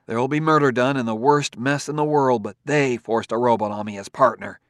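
A man's voice: mid-range voice, steady tone, fairly quick, a bit bright, no room sound, studio quality, close to an everyday voice. A man voicing the inward thoughts of a troubled, self-doubting male detective, in an audiobook reading.

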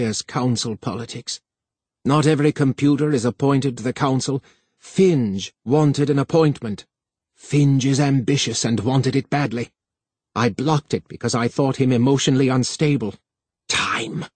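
A man reading an audiobook voices a cranky, whimsical old council elder with a husky voice and an insinuating manner. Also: medium pitch, no echo. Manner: sharp, insinuating, commanding.

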